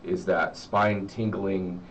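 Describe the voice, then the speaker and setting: A middle-aged American man talking reflectively as an interview guest, halting and repeating words as he searches for the next one. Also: low voice, little pitch movement, gravelly. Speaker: interview guest, horror story writer. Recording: muffled, distant mic with faint noise.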